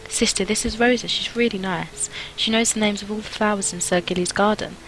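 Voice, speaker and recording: A woman's voice: mid-range voice, fairly even intonation, a little fast, fairly bright tone, slight background noise, pitched above the performer's own voice, lightly acted, poor audio. A woman voicing an eager, chattering young girl who pleads earnestly in a storybook reading. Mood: happy, chatty. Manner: earnest, wheedling, talkative. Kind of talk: amateur audiobook character reading.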